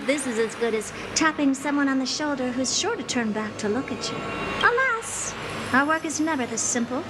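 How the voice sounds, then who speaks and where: Haughty high-voiced genius scientist. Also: female voice, lively intonation, moderate pace, noisy background. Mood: smug explanation. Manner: condescending, blunt, offhand. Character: haughty, aloof genius woman scientist, dismissive and impatient. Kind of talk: video game character acting.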